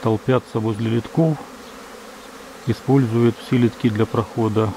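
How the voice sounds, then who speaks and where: Male beekeeper from Ukraine, mellow gravelly voice, matter-of-fact outdoor narration over faint bee buzz. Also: mid-range voice, fairly even intonation, slight background noise. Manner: measured, matter-of-fact, reads out times and temperatures. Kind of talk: calm narration of apiary footage. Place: outdoors at an apiary beside hives. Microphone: camera's built-in mic. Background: buzzing bees.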